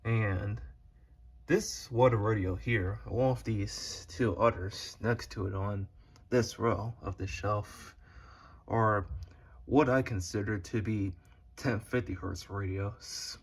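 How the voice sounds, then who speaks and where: Slow, low-voiced man with husky tone. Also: fairly even intonation. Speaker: hobbyist video creator. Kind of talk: casual unscripted showcase narration. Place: indoors at home beside a shelf of radios. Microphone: handheld phone behind the camera.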